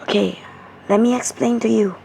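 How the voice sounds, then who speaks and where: A woman with a hoarse, strained voice slowly explaining tarot cards. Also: a little high, fairly even intonation, mellow, a little hiss or hum, poor audio. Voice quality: rough, strained voice. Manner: slow, halting, repetitive explaining. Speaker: tarot card reader.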